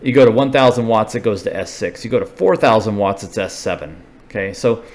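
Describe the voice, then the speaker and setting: A man with a fairly low voice gives a casual technical explanation. He sounds informal and unscripted, thinking aloud and building each point one step at a time, like the presenter of a hobbyist video. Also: lively intonation, fairly quick.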